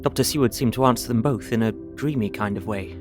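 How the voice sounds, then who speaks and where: An actor playing an earnest, devoted husband reading his journal aloud in a measured way for an audio drama. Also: mid-range voice, over music, lightly acted. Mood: earnest, reflective.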